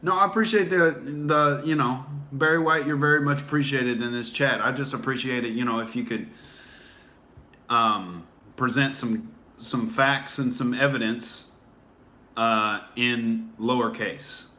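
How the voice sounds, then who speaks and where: A man's voice: mid-range voice, fairly even intonation, unhurried, sharp tone. A man hosting a casual livestream, rambling with many fillers and restarts while answering viewer comments. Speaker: livestream host.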